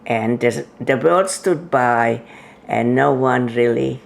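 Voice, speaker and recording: A woman in her nineties, from Hungary, telling her life story slowly in an interview, with many pauses and fillers. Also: very deep voice, gravelly, a little hiss or hum. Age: 90s. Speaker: interview guest. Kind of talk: oral history interview.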